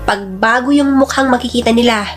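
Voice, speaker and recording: Troy, kindly husband voiced by a high-pitched woman. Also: fairly even intonation, quick pace, faint music behind, lightly acted. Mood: explaining, calm. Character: Troy, kindly, helpful young husband and father. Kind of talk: radio drama acting.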